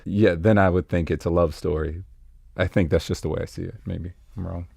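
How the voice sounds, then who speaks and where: A man speaking casually and haltingly as a panelist discussing a play. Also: low voice, fairly even intonation, creaky, darkish tone, slightly rough, no room sound, clean studio sound.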